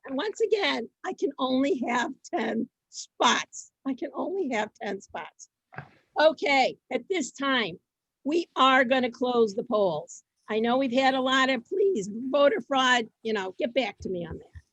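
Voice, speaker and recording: Female event host, high voice, informal and repetitive delivery, dry video-call sound. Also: big pitch swings, fairly bright tone, breathy edge, dry recording, poor audio. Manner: halting, often repeating her phrases. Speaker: host of an online reader's theater event. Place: online video meeting.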